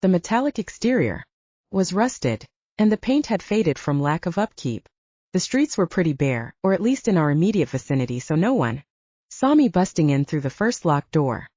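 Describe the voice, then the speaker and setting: A woman's voice: on the low side, big pitch swings, moderate pace, warm tone, no echo, muffled recording. A woman narrating scripted first-person fiction as an audiobook narrator.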